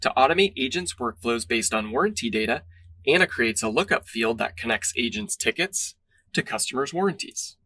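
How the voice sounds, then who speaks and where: Guy narrating a software demo, bright voice, polished and instructional, reading a script. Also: mid-range voice, lively intonation, normal speed, no room sound.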